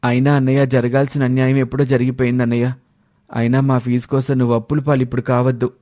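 A man's voice: mid-range voice, little pitch movement, quick pace, dark tone, close to an everyday voice. A man voicing an eager, respectful younger brother who talks earnestly in a family story.

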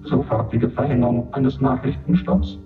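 An actor playing an impersonal official who reads out formal reports through a radio-like filter in a radio drama. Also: male voice, mid-range voice, flat delivery, moderate pace. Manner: formal, clipped, matter-of-fact announcements. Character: impersonal official voice reading out reports and roll call. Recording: tinny narrow band, distant, music behind.

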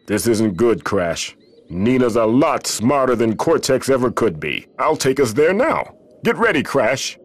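A man voicing a wise, calm mask spirit guardian in a video game, speaking in a measured, dry way. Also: medium pitch, slight background noise.

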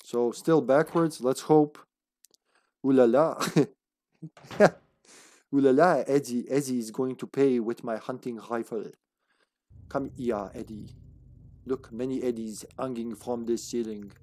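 A man's voice: mid-range voice, steady tone, a little slow, long pauses, dark tone. A man in his thirties with a Serbian accent, joking and laughing through casual live gameplay commentary. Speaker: streamer.

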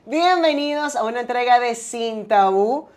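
A woman's voice: a little high, animated, a little slow, a little mellow, soft background music. A woman from Venezuela in her thirties, talking as a talk show host and presenter. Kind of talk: presenter talk, promos and casual interviewing.

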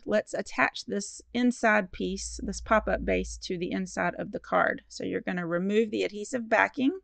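Crafty lady walking you thru folds, gravelly fry in her voice, friendly and encouraging, dry close sound. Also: medium pitch, no echo. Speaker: card-making crafter. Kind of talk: step-by-step craft tutorial voice-over.

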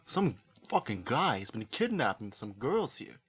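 A man voicing an irritated, foul-mouthed tough investigator with a husky voice, profane and impatient, in cartoon parody narration. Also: mid-range voice, sing-song, a little slow, a bit bright, heavily acted. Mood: irritated, swaggering.

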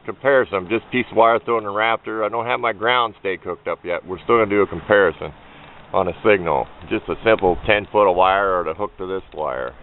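A man's voice: fairly low pitch, fairly quick. A man giving a casual, plain-spoken, folksy homemade how-to narration as a hobbyist, picked up on a handheld camera microphone. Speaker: hobbyist demonstrator. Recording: muffled phone-like camera audio with background noise.

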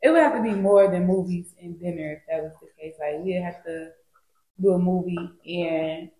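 A woman's voice: fairly low pitch, moderate pace, mellow, reverberant, rough recording. A woman in her twenties chatting as a blind date contestant, blunt but hesitant. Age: 20s. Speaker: dating show contestant. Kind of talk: casual chat.

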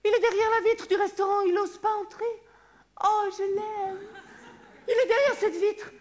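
A woman's voice: very high-pitched, fairly even intonation, normal speed. A middle-aged woman performing a wistful theatrical monologue on stage, recorded from a distant camera in the hall. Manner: dreamy, wistful, drifting into hushed murmurs. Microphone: far camera in the audience.

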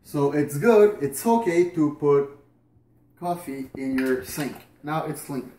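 A man's voice: a little high, expressive, slow, warm tone. A man in his thirties chatting through a casual how-to demonstration in his home kitchen, hesitant with fillers and restarts, recorded by a camera on the counter. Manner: chatty, hesitant with fillers and restarts, moving about while working. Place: home kitchen indoors at night. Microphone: camera on the counter at a distance. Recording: echoey kitchen, faint room noise.

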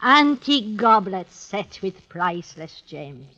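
An actress playing a cold, mocking blackmailing duchess in a 1950s radio drama, speaking in measured, formal phrases. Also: female voice, medium pitch, sing-song, bright, forceful tone, exaggerated voice. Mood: mocking, smug. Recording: muffled 1950s radio recording.

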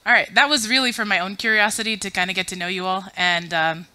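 Woman from afghanistan running a conference talk, jokey asides, bright voice, mid pitch. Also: steady tone, fast, no echo. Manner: chatty, self-deprecating, joking asides. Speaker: conference speaker. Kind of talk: conference talk with audience polls. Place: conference room with audience. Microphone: podium mic.

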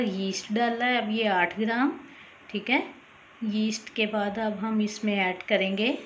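A chatty woman talks viewers through a recipe in a relaxed voice-over. Her pitch sits in an ordinary middle range. The recording is a little dull, with a faint hiss behind her. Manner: chatty, repeats "theek hai", addresses viewers directly. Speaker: cooking channel host. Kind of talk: casual voice-over cooking tutorial.

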